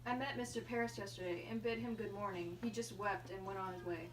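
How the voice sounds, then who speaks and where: A woman's voice: mid-range voice, fairly even intonation, a little fast, close to an everyday voice. A woman acting an anxious, pleading male minister from colonial Salem in an amateur puppet play. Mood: deferential, worried. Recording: distant mic with background room noise.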